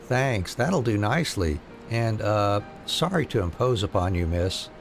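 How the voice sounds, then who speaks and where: Man playing weary resistance fighter, gravelly edge. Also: on the low side, big pitch swings, a little slow, faint music behind, full character voice. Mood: earnest, weary. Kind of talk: reading fantasy game dialogue aloud.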